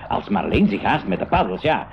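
Excitable professor with a swooping voice. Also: male voice, fairly low pitch, a little fast, creaky. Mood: concerned, busy. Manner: theatrical, exclaiming. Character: excitable professor uncle, proud inventor of a flying machine. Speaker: radio play actor. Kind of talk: 1980s Dutch-language school radio drama acting. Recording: dull, noisy old radio recording.

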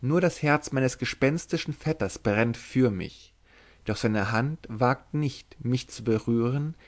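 A man's voice: medium pitch, slow pace, natural voice. Man voicing a bold, secretive young witch-maiden. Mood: brisk, conspiratorial. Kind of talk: dramatic literary audiobook reading.

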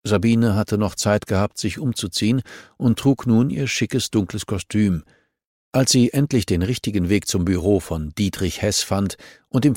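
A man's voice: fairly low pitch, darkish tone, dry recording, studio quality. A man reading scripted narration from a crime novel as an audiobook narrator.

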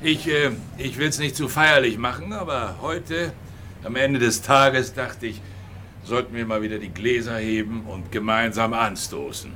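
An actor playing a jovially official police superior in a German radio drama, addressing a group with hearty mock formality. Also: male voice, mid-range voice, a little slow, bright, forceful tone, slight background noise.

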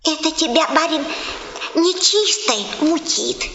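A woman's voice: high voice, animated, slow, fairly bright tone, heavily acted. An actress playing a kindly, superstitious peasant pilgrim woman, admonishing in sing-song folksy speech in a radio drama. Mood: soothing, admonishing. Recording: muffled, echoey, noisy radio recording.

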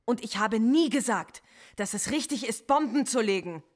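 An actress playing a defiant philosophy professor under police interrogation in a radio drama, impassioned and lecturing. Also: female voice, fairly high pitch, lively intonation, normal speed. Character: female philosophy professor suspect, defiant, intense.

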